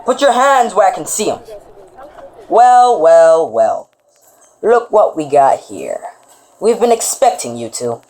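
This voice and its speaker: A woman's voice: lowish voice, big pitch swings, takes it slowly, long pauses, darkish tone, poor audio. A woman playing a gloating, taunting criminal henchman in a radio drama.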